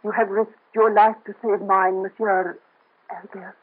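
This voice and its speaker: Old radio play: a dignified young noblewoman, breathy and soft, lo-fi vintage recording. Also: medium pitch, level tone, a little slow. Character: young noblewoman in hiding, proud and gentle. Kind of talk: 1930s radio drama acting. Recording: muffled lo-fi old radio transfer.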